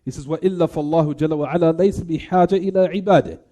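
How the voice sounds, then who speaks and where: Mellow, higher-voiced man teaching religion. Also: rapid-fire. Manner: didactic, repeats phrases, poses questions to listeners. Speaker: religious teacher giving a lecture. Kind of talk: religious lecture, translating and explaining recited Arabic texts.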